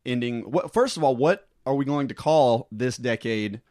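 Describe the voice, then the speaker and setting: A man's voice: mid-range voice, expressive, normal speed, a little mellow, no room sound, studio quality. A man in his late thirties hosting a casual group chat, rambling and restarting his sentences. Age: late 30s. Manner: rambling, with many restarts and fillers. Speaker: podcast host.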